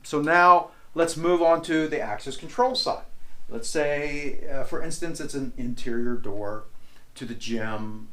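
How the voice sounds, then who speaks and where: A man in his forties with a slightly high voice walks through a product demonstration. He explains things step by step, in plain, informal language. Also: measured pace, fairly bright tone. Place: small indoor office room, standing behind a demo table.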